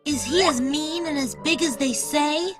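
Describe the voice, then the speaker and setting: A woman voicing a small, timid, wide-eyed child in an animated children's story, earnest and expressive. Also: high-pitched, measured pace, music in the background. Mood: anxious, curious.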